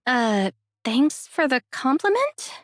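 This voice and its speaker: A woman's voice: high-pitched, big pitch swings, takes it slowly, no room sound, studio quality, full character voice. A woman playing an easygoing, friendly young heroine in an anime game, speaking casually with a light teasing edge. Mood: wry, questioning.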